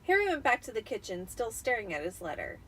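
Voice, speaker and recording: A woman narrates a story in a dramatic amateur style, tipsy from wine. Her voice sits on the high side. There is faint background noise. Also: big pitch swings, fast talker, a bit bright, far from the mic.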